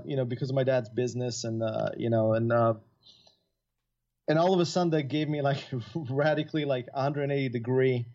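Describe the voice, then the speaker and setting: A man's voice: medium pitch, mellow. A middle-aged man who grew up in Italy talks loosely as an interview guest. He rambles, full of fillers and restarts. The recording sounds a bit dull and lo-fi. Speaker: guest composer. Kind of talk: casual interview chat.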